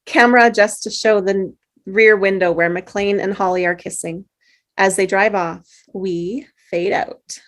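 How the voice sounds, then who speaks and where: A woman's voice: medium pitch, fairly even intonation, a little slow, fairly bright tone. Woman reading stage directions aloud. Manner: stumbles on words while reading. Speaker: narrator, reads action lines. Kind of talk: script reading.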